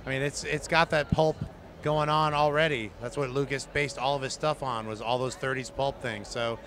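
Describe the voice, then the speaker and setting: A man co-hosting a movie news chat, his voice hoarse and strained, talking in an easygoing way full of "uh" and "right". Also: medium pitch, fairly even intonation, normal speed, fairly bright tone, a little hiss or hum. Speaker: co-host of a movie news show. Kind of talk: casual chat about movies. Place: outdoors at a busy convention.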